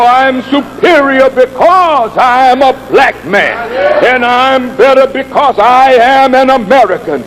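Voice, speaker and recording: A man preaching a sermon in an emphatic, deliberate oratorical manner, building with repetition and rhetorical questions. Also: very high voice, rough recording. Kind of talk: sermon, oratorical public address.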